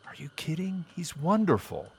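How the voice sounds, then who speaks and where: An actor playing an anxious, self-deprecating single man in naturalistic audio drama, wry and halting. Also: a little high, sing-song, gravelly, a little mellow, a little hiss or hum. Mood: exasperated, jealous.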